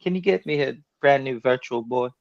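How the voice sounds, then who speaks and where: A man with a medium-pitched voice and a dry, close sound. He chats casually as a co-host in gaming banter. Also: fairly quick, vocal fry, no room sound, muffled recording.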